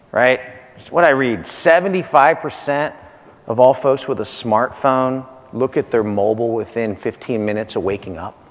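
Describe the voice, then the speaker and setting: A man's voice: mid-range voice, a bit bright. A man in his forties giving a conference keynote in punchy short phrases, repeating key points and checking in with "right?". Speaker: keynote speaker, marketing executive. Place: large hotel conference stage, indoors. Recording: reverberant conference hall.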